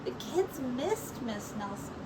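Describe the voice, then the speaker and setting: A woman teacher reading a picture book aloud to young children in an expressive, encouraging way. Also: on the high side, slow. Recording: distant mic with clear background noise.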